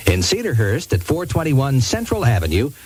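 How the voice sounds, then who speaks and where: A man's voice: mid-range voice, wildly swooping pitch, normal speed, darkish tone, husky, low-quality recording. An American man working as a radio DJ, delivering 1980s music radio patter and ad reads on an old aircheck.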